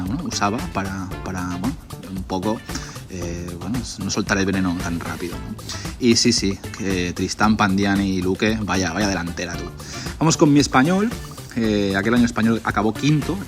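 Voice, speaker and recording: A young man talks in a relaxed, rambling way, cursing now and then as he reminisces. His voice sits fairly low. Music plays clearly behind him throughout. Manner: nostalgic, rambling, swears freely. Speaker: sticker album collector host. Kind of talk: casual chat flipping through an old album.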